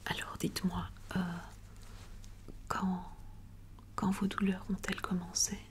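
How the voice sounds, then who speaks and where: A woman speaks in a soft, breathy whisper, playing a gentle, reassuring doctor in an ASMR roleplay with hesitant pauses. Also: mid-range voice, monotone. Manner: gentle, reassuring, attentive bedside manner.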